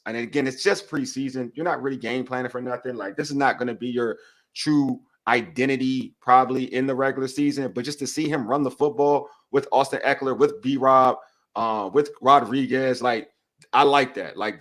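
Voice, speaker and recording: A man co-hosting a casual sports talk show, talking in quick bursts with frequent restarts. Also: medium pitch. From Nigeria. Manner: animated, talks through restarts. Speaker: podcast co-host.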